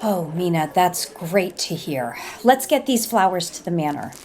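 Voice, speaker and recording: An actress playing a polite but defensive well-to-do woman in an audio soap, insistently trying to smooth things over. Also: lowish voice, very animated, unhurried, darkish tone, a little hiss or hum. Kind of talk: audio soap opera acting.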